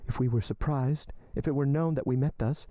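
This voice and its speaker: A man's voice: mid-range voice, steady tone, fairly quick, mellow, no echo, clean studio sound, pitched above the performer's own voice, close to an everyday voice. A man voicing a tearful, devoted young woman. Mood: imploring. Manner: trembling, imploring delivery. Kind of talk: audiobook character voice.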